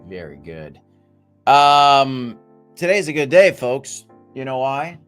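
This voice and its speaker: Host, male, hoarse, jokey livestream banter to chat, faint music bed. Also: medium pitch, very animated, takes it slowly, fairly bright tone. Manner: jokey, repeats phrases, talks to chat. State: losing his voice, deep and hoarse. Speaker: crypto show host.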